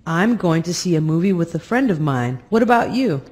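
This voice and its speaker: Lively low-voiced woman reading dialogue. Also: moderate pace, slightly rough. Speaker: dialogue reader. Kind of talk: scripted learner dialogue.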